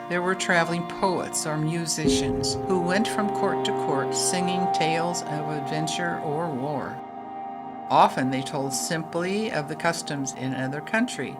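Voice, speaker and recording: A woman playing a kindly, devout storyteller who earnestly teaches children in an audio drama. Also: deep voice. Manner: earnest, instructive, addresses listeners by name. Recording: music playing behind the voice.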